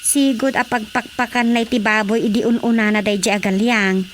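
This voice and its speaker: An actress playing a gossipy village woman in a radio comedy, chatty and expressive, with an Ilocano accent. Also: a little high, brisk, slight background noise, close to an everyday voice. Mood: chatty, amused.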